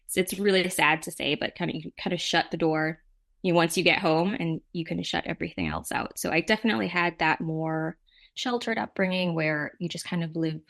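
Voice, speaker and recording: A middle-aged woman from Jamaica speaks as a nonprofit representative in an interview. Her voice sits on the low side and has a strong creak. She explains things reflectively, adding asides as she goes. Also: fairly quick, fairly bright tone. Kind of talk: conversational interview answers.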